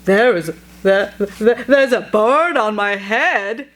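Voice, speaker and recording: A woman's voice: medium pitch, slow pace, a bit bright, slight background noise. A woman reading a children's picture book, voicing an anxious, exasperated elephant in a stammering, dramatic way. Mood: alarmed. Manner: stammering, exclaiming, dramatically dismayed. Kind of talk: expressive children's read-aloud.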